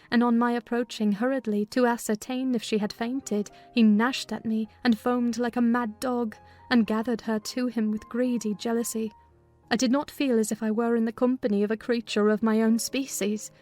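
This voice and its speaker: A woman narrating a dramatized literary audiobook in a measured, expressive storytelling manner. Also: a little high.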